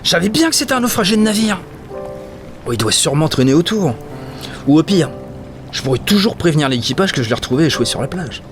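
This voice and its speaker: A man's voice: on the high side, sing-song, quick pace, music in the background. A man playing a curious, uneasy dockworker in an audio drama, wondering aloud to himself. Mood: excited. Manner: talks to himself, exclamatory and wondering. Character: curious, uneasy male dockworker exploring a ghost ship. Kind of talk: audio fiction acting.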